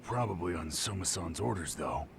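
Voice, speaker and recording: An actor playing an excitable, rough street thug with a gravelly voice and an agitated manner in a game dub. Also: male voice, sits low, normal speed, a little hiss or hum, full character voice. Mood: nervous, defensive. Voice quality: creaky, gravelly. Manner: agitated, swaggering, defensive. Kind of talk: English dub acting for a game cutscene. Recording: music behind the voice.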